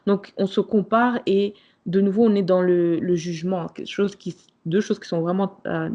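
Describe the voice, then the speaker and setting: A woman's voice: mid-range voice, fairly even intonation, moderate pace, warm tone. Frank woman on a dull, lo-fi line. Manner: frank advice-giving with frequent restarts. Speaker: podcast co-host. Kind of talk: casual chat.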